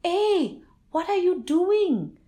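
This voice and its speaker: A woman voicing a horrified village man who shouts in alarm and then urgently pleads. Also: takes it slowly. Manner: shouted exclamations, high and strained.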